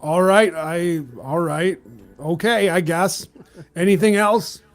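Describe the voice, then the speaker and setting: A man's voice: high voice, steady tone, slow, soft background music. A man playing a jaded, sarcastic registrar at a desk in improvised tabletop roleplay, with a world-weary, condescending drawl. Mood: sardonic, incredulous.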